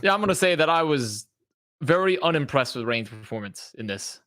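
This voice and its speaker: A man's voice: a little high, lively intonation, brisk, a bit bright, no room sound, clean studio sound. A man giving casual match analysis as an esports podcast co-host.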